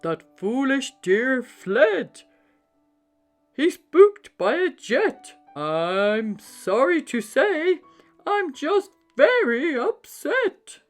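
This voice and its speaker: A woman voicing an elderly Santa in a children's storybook, fretting and exclaiming theatrically with drawn-out words. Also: high voice, very animated, faint music behind, voice pushed up, full character voice. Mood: upset, complaining. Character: upset, worried old Santa, elderly man fretting over reindeer.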